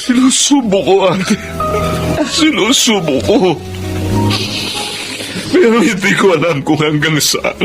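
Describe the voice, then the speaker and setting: A man playing a middle-aged, loving but anguished father in an emotional radio drama, halting and repeating his words. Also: high-pitched, very animated, loud music behind the voice, exaggerated voice. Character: grieving Filipino father, loving, anguished, bitterly reproachful.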